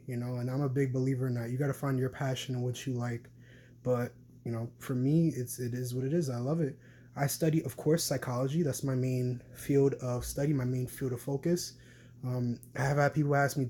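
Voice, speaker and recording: A man's voice: medium pitch, warm tone. A young man, talking casually to camera in a rambling way with many fillers and restarts. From New York City. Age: young adult. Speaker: vlogger.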